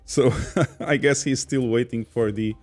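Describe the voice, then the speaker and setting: A man's voice: mid-range voice, steady tone, unhurried, a little mellow, soft background music. A man from Portugal with a Portuguese accent, casually hosting a livestream and reading viewer comments aloud. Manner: chatty, reads viewer comments aloud, laughs easily. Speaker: podcast host reading chat.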